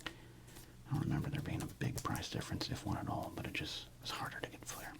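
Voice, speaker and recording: A man hosting an ASMR video, speaking in a breathy whisper with a gravelly creak, rambling casually as if musing to himself. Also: a little fast. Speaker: host of a sports card ASMR channel. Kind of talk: ASMR, unscripted whispered commentary on a card collection.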